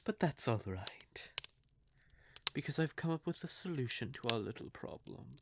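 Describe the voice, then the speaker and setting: A man's voice: mid-range voice, lively intonation, vocal fry, heavy background noise. A man playing a betrayed, possessive vampire lover in an audio drama monologue, theatrical, condescending and coldly controlling. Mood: smugly commanding. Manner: theatrical, slow, condescending, deliberate.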